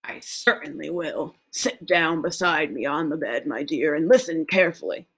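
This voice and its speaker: A woman reading a children's story aloud, voicing a fond, excitable, very old grandfather who exclaims and savors his superlatives. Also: deep voice, normal speed, husky, voice pushed down, full character voice, low-quality recording. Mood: gentle.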